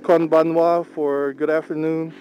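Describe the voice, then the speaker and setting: Slow-talking young man driving. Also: on the high side, level tone, muffled recording. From Philippines. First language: Tagalog. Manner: slow, unhurried, halting with pauses. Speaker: vlogger. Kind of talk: casual talk while driving. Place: inside a moving car. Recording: faint car engine hum. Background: car engine and road noise.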